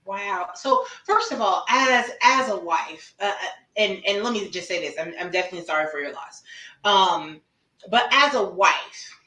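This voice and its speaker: A woman hosting a casual interview show, lively and emphatic, calling out to her listeners. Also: medium pitch, moderate pace, echoey room. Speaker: podcast host, sexologist.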